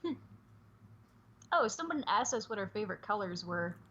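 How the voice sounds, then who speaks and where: A woman's voice: medium pitch, very animated, normal speed, fairly bright tone, slight background noise. A woman chatting casually and earnestly as a guest on a livestream, over dull, lo-fi call audio. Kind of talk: casual chat.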